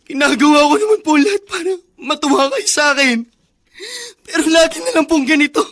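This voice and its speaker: Casting: young orphaned nephew in radio melodrama, very high voice, meek, respectful, plaintive pleading. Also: male voice, lively intonation, brisk, fairly bright tone. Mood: hurt, tearful. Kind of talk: melodramatic radio drama acting.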